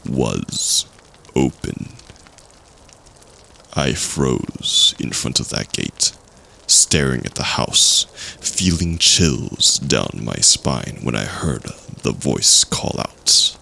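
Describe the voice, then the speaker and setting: A man playing a storyteller recalling a spooky childhood tale, with slow, suspenseful, dramatic phrasing in an audio roleplay. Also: lively intonation, sharp tone, heavily acted. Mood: ominous suspense. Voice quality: deep, gravelly.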